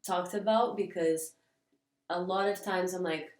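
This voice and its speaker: A woman's voice: fairly low pitch, fairly even intonation, a little slow, a little mellow. A woman from Portugal, co-hosting a podcast in casual reflective chat, earnest and often searching for words. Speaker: podcast co-host. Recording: echoey room, distant mic.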